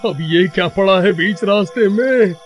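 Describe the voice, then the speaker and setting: A man's voice: high voice, moderate pace, natural voice. A man playing a panicky, cowardly villager in a cartoon horror story, stammering, whimpering and pleading melodramatically. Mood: panicked. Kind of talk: animated horror-comedy story acting.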